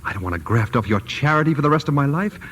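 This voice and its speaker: Quick-talking, frustrated young husband. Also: male voice, mid-range voice, lively intonation, fairly bright tone, slightly rough. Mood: defiant, frustrated. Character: frustrated young husband, proud yet henpecked, earnest. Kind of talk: old-time radio drama acting. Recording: noisy old-time radio recording.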